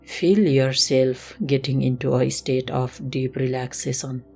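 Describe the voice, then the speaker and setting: A woman instructor leading a slow guided meditation in a gentle, measured, instructional manner. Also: rumbling low, flat delivery, husky. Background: music.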